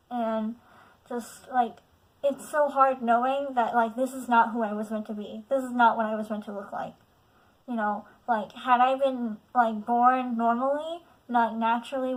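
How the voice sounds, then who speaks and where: Young woman vlogger, late teens, nasal with a lisp, slightly high voice, halting and candid with lots of fillers. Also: low-quality recording. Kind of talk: personal confessional vlog.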